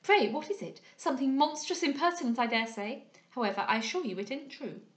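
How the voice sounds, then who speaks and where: Woman playing a high-pitched, huffy young society chatterbox. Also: lively intonation, fairly quick, roomy sound. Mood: excitable, gossipy. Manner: gushing, indignant chatter. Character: voluble young society lady, huffy and gossipy. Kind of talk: dramatised audiobook reading of a period novel.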